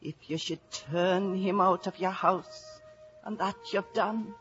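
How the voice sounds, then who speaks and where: An actress playing a solemn, foreboding old Irish village prophetess in a radio drama. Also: female voice, medium pitch, steady tone, slow, a bit bright. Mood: ominous. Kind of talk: 1950s radio drama acting. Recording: muffled vintage radio, faint music.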